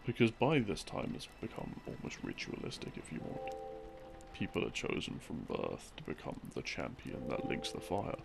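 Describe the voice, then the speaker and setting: A man telling a story in a rambling, intimate boyfriend-roleplay style, halting and musing as his thoughts trail off. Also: extremely low pitch, wildly swooping pitch, creaky. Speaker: audio roleplay performer playing a boyfriend. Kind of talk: rambling, improvised intimate storytelling of game lore. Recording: music behind the voice. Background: slow game theme music.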